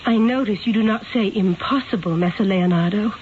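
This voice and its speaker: An actress in an old-time radio drama playing a gentle, anxious aristocratic young wife, earnest and theatrical, with formal diction. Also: female voice, medium pitch, brisk, phone-quality sound, lightly acted. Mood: fearful confiding. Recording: thin, hissy old radio broadcast.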